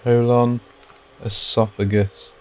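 A man's voice: medium pitch, little pitch movement, slow, low-quality recording. A man co-hosting a gaming video, chatting casually with dry joking asides and reading game text aloud.

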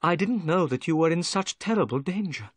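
A man reading a storybook, voicing a kind, calm young woman in a raised, soft voice. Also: a little high, fairly quick, dry recording, clean studio sound, voice pushed up. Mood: gentle.

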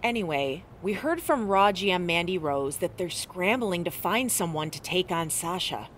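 A stern wrestling trainer. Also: female voice, on the low side, expressive, normal speed, fairly bright tone, a little hiss or hum. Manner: stern, no-nonsense.

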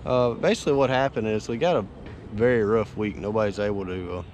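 Low-voiced man chatting outdoors. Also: fast, a little mellow, a little hiss or hum. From Florida Panhandle, US. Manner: easygoing, rambling, coaching kids between asides. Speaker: fishing charter captain. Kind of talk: casual outdoor vlog talk. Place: outdoors by a neighborhood pond. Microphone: wearable action camera.

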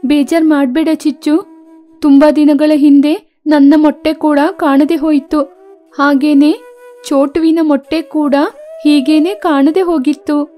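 A woman's voice: high-pitched, little pitch movement, a little slow, warm tone, soft background music. A woman voicing an anxious, tender mother sparrow in a children's cartoon, earnest and pleading. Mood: consoling. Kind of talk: children's cartoon story acting.

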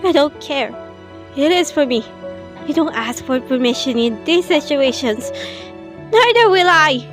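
A woman's voice: sits high, lively intonation, unhurried, a bit bright, faint music behind. A woman voicing a stubborn, emotional young turtle, a fiery little brother, impassioned and defiant in a fan comic dub. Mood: angry.

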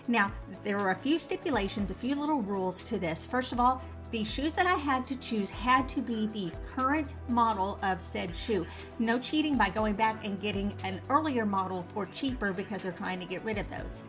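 A woman in her forties talks straight to camera from a living room lined with bookshelves, her voice still a little rough from a recent flu. She sounds upbeat and leans on key words. Faint music plays underneath. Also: medium pitch. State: recovering from flu, voice a bit rough. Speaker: running channel host. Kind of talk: scripted vlog talk to camera.

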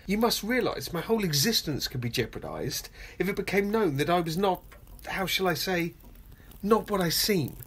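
A woman playing a suave, gloating male professor and secret spy in a radio play, pompous mock courtesy and a husky, breathy voice. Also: mid-range voice. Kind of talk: homemade radio play acting.

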